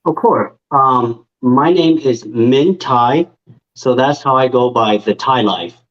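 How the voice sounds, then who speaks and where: A man in his thirties, born in Vietnam, tells his story casually in an interview, his pitch sitting at an ordinary middle level. The audio is a bit dull and lo-fi. He speaks easily and turns lively when he acts out his own past thoughts. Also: slow. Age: 30s. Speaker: guest, nail technician and influencer.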